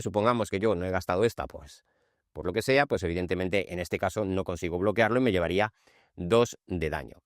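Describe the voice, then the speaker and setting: A low-pitched man with an accent from Spain hosts an informal game tutorial. He explains steps in a conversational way, adding short checks for understanding. The sound is dry, with very little room echo. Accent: Spanish from Spain. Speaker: board game channel host.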